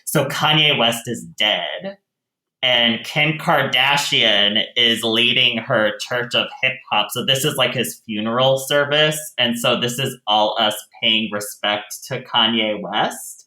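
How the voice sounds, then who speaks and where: Bright-voiced man in an echoey room. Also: mid-range voice, slow. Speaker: interviewed theater director. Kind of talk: casual interview answers. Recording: roomy, echoey sound.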